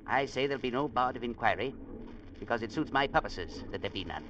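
Man playing a gruff old-time radio sea captain. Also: medium pitch, lively intonation, fairly bright tone, lightly acted. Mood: stubborn, resolute. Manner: blunt, salty, folksy speech with deliberate emphasis. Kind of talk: 1940s-50s radio drama acting. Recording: noisy, muffled old radio broadcast.

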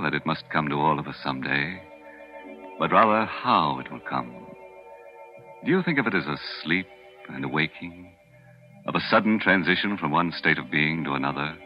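Deep-voiced sardonic radio host. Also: male voice. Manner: sardonic, macabre humor. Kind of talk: 1940s radio horror hosting. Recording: dull old radio broadcast with music.

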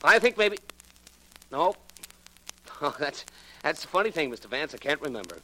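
An actor playing an eager, boastful, slightly dim record shop clerk in a 1940s radio drama, with breezy, slangy, repetitive patter. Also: male voice, on the high side, big pitch swings, moderate pace, bright, forceful tone, heavily acted, rough recording. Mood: puzzled, sheepish. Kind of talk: 1940s radio comic character acting.

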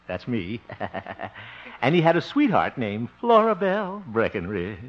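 An actor playing a folksy, good-natured, nervous bachelor in a 1950s radio comedy, hesitant and given to chuckling. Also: male voice, medium pitch, big pitch swings, measured pace, over loud music. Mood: jovial, uneasy storytelling. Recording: dull, muffled 1950s radio recording.

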